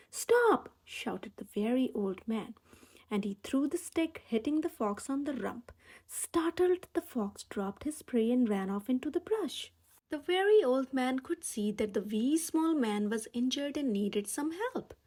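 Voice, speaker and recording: A woman reading a picture book aloud as a children's storyteller, with expressive, deliberate phrasing. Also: high-pitched.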